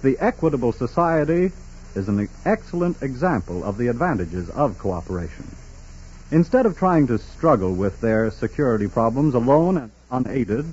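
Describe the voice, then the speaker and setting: A man announcing a scripted 1940s radio sponsor message in a formal, emphatic, oratorical manner, with a husky voice. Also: medium pitch, darkish tone. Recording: muffled 1940s radio recording.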